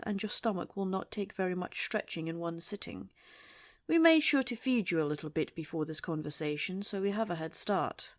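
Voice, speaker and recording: Audio drama casting: woman playing icy, threatening leader of hunters, deliberate formal diction, mid-range pitch, very dry sound. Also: dry recording, close to an everyday voice. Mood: cold menace. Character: coldly menacing, imperious female boss of monster hunters. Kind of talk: audio roleplay acting.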